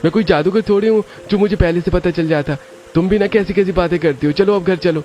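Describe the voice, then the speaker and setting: A man's voice: sits high, fast talker, warm tone, lo-fi sound, slight background noise, pitched above the performer's own voice, close to an everyday voice. A man voicing a helpful, lovestruck young man in a cartoon story. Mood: friendly.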